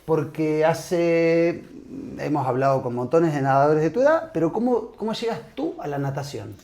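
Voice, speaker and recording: A man hosting an interview. His voice is a little high but mellow and warm. He stretches out introductions for emphasis and probes with follow-up questions. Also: lively intonation, moderate pace. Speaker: podcast host.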